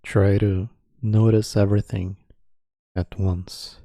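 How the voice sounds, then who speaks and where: Male meditation guide: low, very mellow, even delivery, calm and unhurried with long pauses. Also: gravelly, no room sound, clean studio sound.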